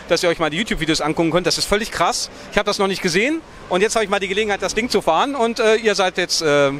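A man's voice: sits high, a bit bright. A man in his thirties hosting a casual vlog in a hyped, slangy, self-deprecating way. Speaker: vlog host. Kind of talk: casual, excited on-location vlog chat. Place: busy glass-fronted event hall with racing simulators. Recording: noisy event hall, vehicle sounds behind.

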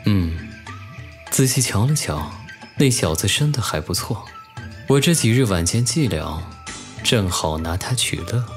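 An actor playing a scheming, lecherous young official in a Chinese costume audio drama, smug and deliberate in manner. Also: male voice, lowish voice, animated. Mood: taunting, lecherous. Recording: music under the voice.

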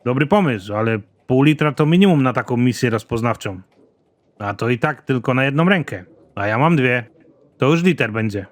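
A man playing a gruff, trigger-happy, hard-drinking space soldier in an animated comedy, with a crude, deadpan, grudging delivery. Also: medium pitch, moderate pace, close to an everyday voice. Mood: dry, stubborn.

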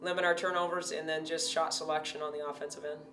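A woman coach in her forties talks through a post-game interview in a steady, measured way, pausing on fillers. Her voice stays fairly even in pitch. She sits a little back from the mic in a room with a noticeable echo. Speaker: head basketball coach. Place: indoor press backdrop. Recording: roomy, distant, faint hum.

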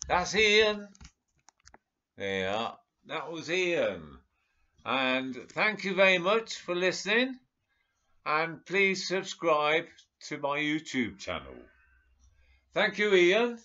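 Lively, bright-voiced older man. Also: on the high side, slow, pauses often. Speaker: host. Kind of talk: casual chat. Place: small room indoors. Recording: dull lo-fi video call audio.

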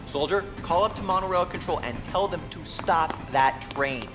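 A man plays a dutiful space lieutenant in an audio drama. He speaks in a clipped, official way, fairly high for a man, with music clearly behind him. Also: normal speed, lightly acted. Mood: alarmed. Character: dutiful male military lieutenant, space station security.

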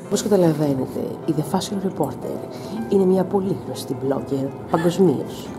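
A woman's voice: on the low side, music in the background. A young woman narrating a travel vlog in a mock-serious, ironic tone. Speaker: vlogger.

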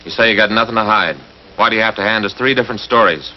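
A quick-talking American police detective from a 1950s TV drama, played by a man, sceptical and impatient. He is blunt and challenging. The vintage soundtrack is dull with slight noise. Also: fairly low pitch, close to an everyday voice. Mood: accusing.

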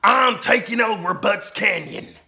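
A man's voice: sits high, pitched above the performer's own voice, full character voice. A man voicing a fearsome male Wild West outlaw in a storybook reading, snarling and drawn out. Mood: menacing. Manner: slow, shouted, snarling delivery. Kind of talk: storybook read-aloud.

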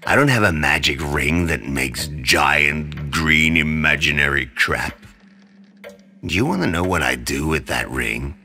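An actor playing a grim, obsessive, proud male vigilante, gravelly and brooding, in a dark comic-book drama. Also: rumbling low, animated, exaggerated voice. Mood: bitter, boastful. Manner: brooding, terse, deliberate.

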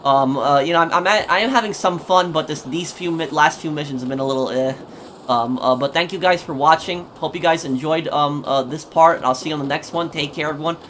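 A man hosting a gaming video with casual, halting commentary, often repeating his words. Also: fairly high pitch, a bit bright, slight background noise.

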